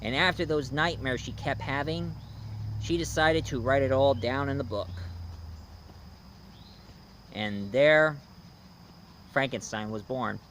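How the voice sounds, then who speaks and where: A man hosting a casual, unscripted review, talking in a halting, rambling storyteller's way. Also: mid-range voice, a bit bright. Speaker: host, film and book reviewer. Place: outdoors. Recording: noisy outdoor recording with insects.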